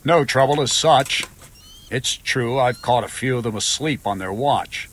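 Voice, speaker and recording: A man's voice: medium pitch, unhurried, fairly bright tone, slight background noise, natural voice. A man playing a stern, grumbling knight commander in a medieval video game. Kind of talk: video game dialogue acting.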